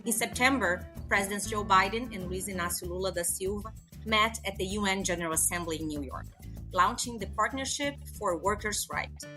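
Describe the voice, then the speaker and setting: A woman's voice: mid-range voice, fairly quick, music in the background, low-quality recording. A woman hosting a podcast, reading a formal scripted introduction. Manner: formal, reads smoothly from a script. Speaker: podcast host.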